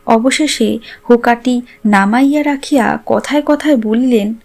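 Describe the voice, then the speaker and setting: A woman's voice: fairly high pitch, measured pace, vocal fry, a little mellow. A woman narrating a literary story a script.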